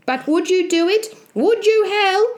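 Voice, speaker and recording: A sharp-tongued storybook mother, put on by a woman, scolds in a high, shrill voice. She berates and exclaims with loud indignation. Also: lively intonation, measured pace. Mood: indignant.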